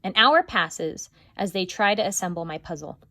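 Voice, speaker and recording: A teacher reading a children's novel aloud, narrating expressively. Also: female voice, on the low side, expressive, moderate pace, pushed and bright, no echo, studio quality. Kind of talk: storybook read-aloud.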